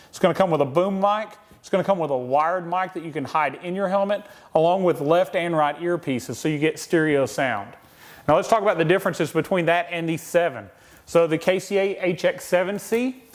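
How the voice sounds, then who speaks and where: A man giving an upbeat sales pitch for products from his own shop, urging viewers to hurry and buy. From Tennessee. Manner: upbeat salesman, urgent deal push. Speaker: audio shop owner presenting products.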